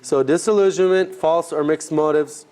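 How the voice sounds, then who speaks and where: A man leading an informal teaching session for a group, talking in a conversational, halting way and rephrasing as he goes. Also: on the high side, a little mellow. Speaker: ministry trainer teaching a group. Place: live session with a group present.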